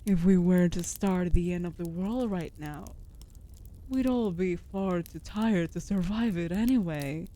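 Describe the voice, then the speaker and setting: A woman voicing a female wolf familiar in a fantasy comfort audio drama, coaxing softly with dry, teasing banter. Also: lowish voice, a little slow, lightly acted. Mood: drowsy, tender. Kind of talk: scripted comfort audio roleplay.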